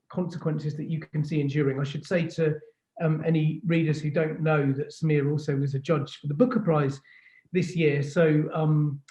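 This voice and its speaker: A man moderating an informal panel on a video call, halting and repeating words as he goes. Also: a little high, dark tone. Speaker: host, magazine editor. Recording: muffled, echoey call audio.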